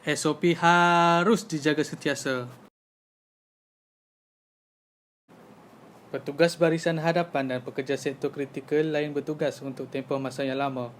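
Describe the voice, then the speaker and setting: A man's voice: mid-range voice, steady tone, fairly quick, few pauses, darkish tone, natural voice. A young man with a Malaysian accent playing a helpful housemate who explains things instructively in an amateur animation. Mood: earnest.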